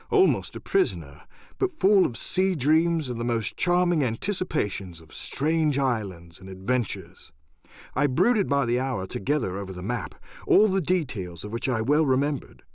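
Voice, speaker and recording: A man's voice: medium pitch, dry recording, clean studio sound. A man reading a scripted first-person adventure memoir as a dramatic audiobook narrator.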